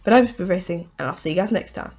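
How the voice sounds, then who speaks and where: A woman with a hoarse voice from a cold, hosting a casual motorsport race review and rambling through it with lots of "um" and "you know". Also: fairly low pitch, quick pace, darkish tone, muffled recording. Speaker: motorsport video host.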